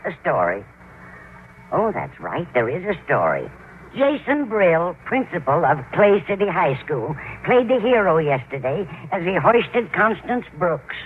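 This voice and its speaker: On a thin, hissy old radio broadcast, a kindly older landlady in a vintage comedy. She is motherly and muddled, with an animated voice that swoops up and down as she fusses. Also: lowish voice, unhurried, phone-quality sound. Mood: startled, fretful. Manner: dithery, literal-minded, motherly. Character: kindly, scatterbrained older landlady, fussing and easily distracted. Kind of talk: 1940s-50s radio comedy acting.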